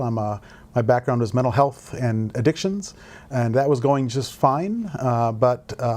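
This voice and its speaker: A man's voice: mid-range voice, darkish tone. A middle-aged man who directs a volunteer program, answering interview questions in a thoughtful, rambling way with many fillers. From grew up in Indonesia and Indiana. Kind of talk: conversational interview.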